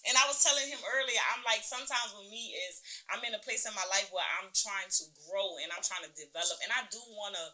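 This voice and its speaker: A woman co-hosting a casual podcast with a strong, very bright voice that rings out. She talks in an animated, opinionated way and laughs often. Her pitch sits in the middle for a woman. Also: quick pace, few pauses, low-quality recording. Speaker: podcast co-host.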